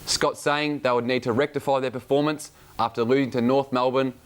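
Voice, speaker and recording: A man's voice: mid-range voice, steady tone, a little fast, clean tone. A man presenting sports news in a scripted reporting style.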